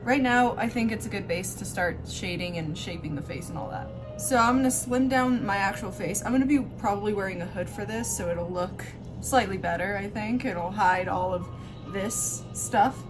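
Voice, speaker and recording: A woman's voice: medium pitch, normal speed, music in the background. A woman hosting a makeup tutorial in a casual, chatty style, with an offhand, self-deprecating and informal way of talking.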